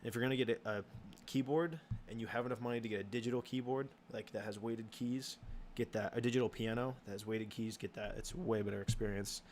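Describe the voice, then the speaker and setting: A young man hosting a casual livestream Q and A, answering chat questions in a halting way with many ums and restarts. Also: mid-range voice, steady tone, darkish tone, slight background noise.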